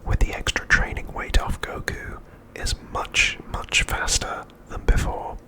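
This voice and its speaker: A man narrating an episode recap as an ASMR host in a hushed, breathy, unvoiced whisper, with deliberate delivery. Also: poor audio.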